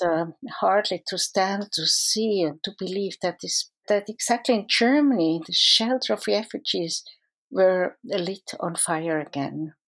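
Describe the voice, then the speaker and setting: A woman's voice: lowish voice, a bit bright. A middle-aged woman from Switzerland with a Swiss accent, a psychoanalyst answering interview questions in a thoughtful, earnest way with frequent restarts.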